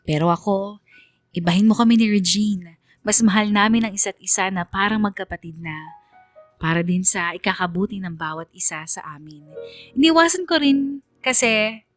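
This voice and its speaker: Radio drama narrator: reflective young woman, read by an actress, mid-pitched, earnest, confessional, faint music underneath. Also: no room sound, natural voice. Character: reflective, loyal young woman telling her friendship story.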